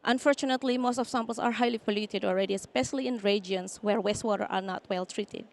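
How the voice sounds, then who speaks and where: Woman giving a steady stage pitch. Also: fast talker, vocal fry. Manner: steady, explanatory, with fillers. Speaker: startup founder pitching. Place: outdoor stage.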